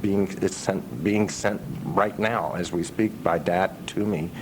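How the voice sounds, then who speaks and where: Male interviewee, musician, low register, anecdotal and halting, on a noisy radio aircheck. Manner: halting, pausing, trailing restarts. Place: radio interview with noise behind the voice.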